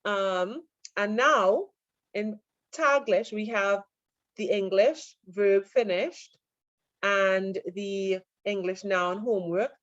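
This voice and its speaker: A woman lecturer giving an online class, relaxed and full of fillers as she answers questions. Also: medium pitch, slow, no echo. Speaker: university lecturer. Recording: dull lo-fi online audio.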